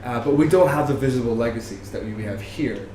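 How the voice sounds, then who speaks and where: A man's voice: mid-range voice, fast, mellow. Echoey man from Lebanon on a panel. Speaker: panelist, researcher of street art. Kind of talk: panel discussion, thoughtful spoken answer. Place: bar venue, live audience discussion. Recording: echoey room, background noise, live event.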